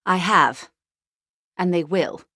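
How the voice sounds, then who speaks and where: A woman playing a composed, world-weary corporate negotiator in a video game, speaking in a measured, articulate and formal way. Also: on the low side, sharp tone, no room sound, studio quality, heavily acted. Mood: grave, diplomatic, guarded.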